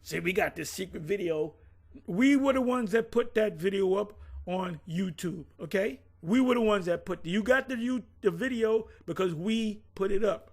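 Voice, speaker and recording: A man in a casual conversation, recounting past events bluntly and with rough language, often restarting his sentences. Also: high voice, normal speed. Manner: blunt, profane, rambling with many restarts. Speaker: former group member recounting events.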